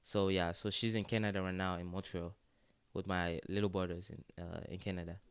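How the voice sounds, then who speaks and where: A young man from Haiti, a student-athlete guest, speaking in a relaxed, casual way and often saying "like" and "yes, sir" in an interview. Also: sits low, brisk, clean tone, no room sound. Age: young adult.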